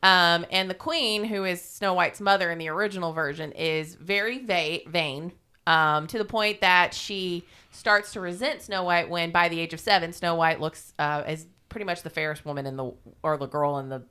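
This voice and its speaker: Female podcast storyteller, irreverent and informal; lowish pitch, very clear tone. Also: fairly even intonation, moderate pace, bright, forceful tone. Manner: irreverent, salty-tongued, informal. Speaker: podcast co-host. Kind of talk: casual retelling of a fairy tale.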